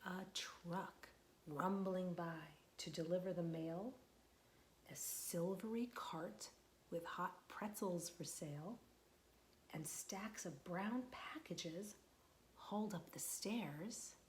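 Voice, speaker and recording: A young woman librarian leading a storytime for young children, asking questions in a sing-song voice and dropping to soft whispers for suspense. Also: on the low side, slow, slight background noise.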